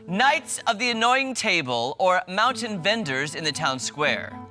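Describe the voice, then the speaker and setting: A TV host with a bright, forward voice and lively, swooping pitch. The delivery is playful and emphatic, part banter and part storytelling, over a bed of music. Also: unhurried. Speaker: TV show host. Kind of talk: playful group banter and reading a silly fairy tale aloud. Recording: music playing behind.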